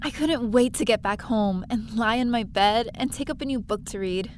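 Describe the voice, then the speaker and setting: A woman's voice: a little high, normal speed, background noise, lightly acted. A woman playing a young space adventurer, telling her own story expressively in an audio drama. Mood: reflective. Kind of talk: first-person audio drama narration.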